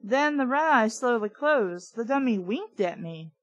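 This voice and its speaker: A woman's voice: on the high side, animated, measured pace, no room sound. A woman reading a children's storybook aloud and breaking off with opinionated, nitpicking comments. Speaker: amateur reader and commentator of children's books.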